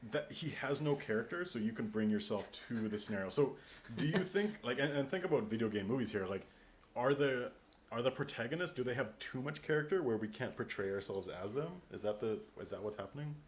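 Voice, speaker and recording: A man's voice: mid-range voice, expressive, a little fast. A man hosting a casual podcast discussion, thinking aloud as he builds up long questions. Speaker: podcast host. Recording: clear background noise.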